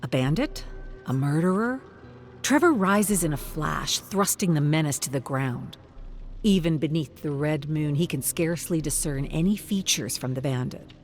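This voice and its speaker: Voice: mid-range voice, expressive, normal speed, soft background music. Solemn, suspenseful dramatic story narrator.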